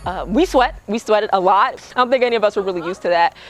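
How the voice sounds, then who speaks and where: Fast-talking young woman recounting a workout. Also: mid-range voice. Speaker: player. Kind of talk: documentary interview.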